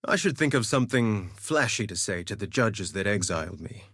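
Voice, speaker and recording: An actor playing a vain, flirtatious gladiator duelist in a video game, with a theatrical, suave and sardonic delivery. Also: male voice, fairly low pitch, animated, moderate pace, a bit bright, breathy edge, dry recording, clean studio sound. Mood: cocky, wry. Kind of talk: scripted game voice lines, theatrical quips.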